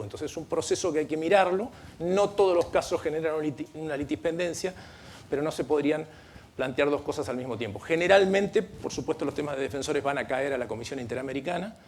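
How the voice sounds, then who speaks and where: A man's voice: medium pitch, a little mellow, a little hiss or hum. Argentine man giving a panel talk. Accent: Argentine Spanish. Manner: earnest, explanatory, with frequent restarts and light asides. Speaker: panel speaker at a legal training event. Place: conference room.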